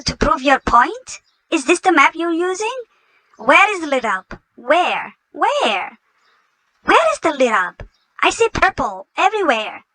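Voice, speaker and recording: A woman's voice: sits high, sharp tone, no room sound. A woman, not an English speaker, reacting to a video in an indignant, mocking tone. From Somalia. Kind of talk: reacting to a clip.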